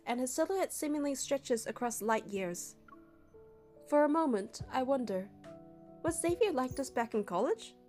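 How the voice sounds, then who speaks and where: A woman's voice: high voice, a little mellow. A young woman narrating a game playthrough, reading the story and the player character's part in a casual way. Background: music.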